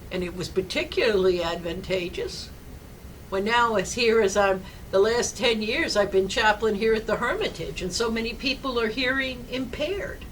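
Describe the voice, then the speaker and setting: An older woman, a chaplain, giving a rambling devotional talk in a low-pitched, loud and projecting voice. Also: lively intonation, moderate pace, recorded from a distance, a little hiss or hum, rough recording. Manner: loud and forceful, rambling personal stories.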